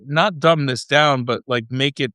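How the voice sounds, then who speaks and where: A man with a mid-range voice talks like a podcast host working through an idea out loud. His phrasing rambles a little and lands emphatically on key words, recorded dry and close. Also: medium pitch, a little slow, no echo. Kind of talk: conversational explaining.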